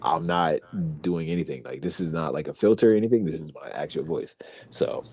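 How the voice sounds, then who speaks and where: A young man from Pennsylvania co-hosting a podcast, talking in a deep, quiet voice through his teeth, relaxed and rambling. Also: a little fast, husky, dull audio. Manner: relaxed, rambling, swears casually. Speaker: podcast co-host. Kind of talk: casual chat.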